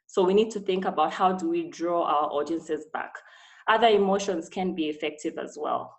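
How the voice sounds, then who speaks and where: Woman, low-pitched, earnest, advising, some uhs, video call. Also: normal speed. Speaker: webinar speaker.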